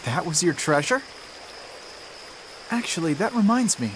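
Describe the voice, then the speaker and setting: A man's voice: high-pitched, big pitch swings, unhurried, a little hiss or hum. A man playing an earnest, protective teenage boy in a video game cutscene, caring, impassioned and a little awkward. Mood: awkward, tender.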